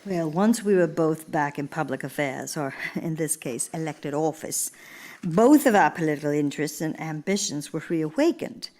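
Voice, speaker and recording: A woman playing an earnest, idealistic older First Lady in live radio theater, speaking in a measured, oratorical manner. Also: low-pitched, lively intonation, darkish tone. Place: live stage before a studio audience in a church.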